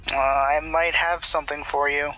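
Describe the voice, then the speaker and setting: An actor playing a reluctant, uneasy morgue worker in a horror audio drama, hesitant and wry. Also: male voice, fairly high pitch, little pitch movement, normal speed, bright, forceful tone, slight background noise, rough recording.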